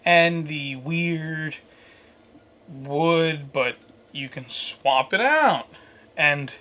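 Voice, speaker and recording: Higher-voiced man hosting a casual review. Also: animated, very slow, bright, forceful tone. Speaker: host and reviewer. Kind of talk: casual scripted product review with off-the-cuff banter.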